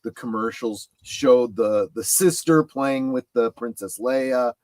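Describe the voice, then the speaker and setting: A man's voice: mid-range voice, takes it slowly, a little mellow. Guy on a panel explaining stuff at length, mid voice, no room echo, casual. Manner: discursive, explanatory. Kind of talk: casual panel chat about collecting.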